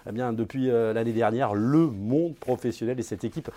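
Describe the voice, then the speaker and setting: A man's voice: mid-range voice, moderate pace, mellow. A man hosting an interview, introducing his guest and prompting him with chatty questions. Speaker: host.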